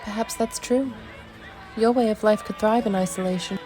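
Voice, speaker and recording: A woman's voice: mid-range voice, steady tone, normal speed, crowd noise, close to an everyday voice. Female adventurer amateur voice actor: composed, supportive, natural mid register, game music underneath. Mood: reassuring. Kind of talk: amateur voice-over of game dialogue. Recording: loud game music behind.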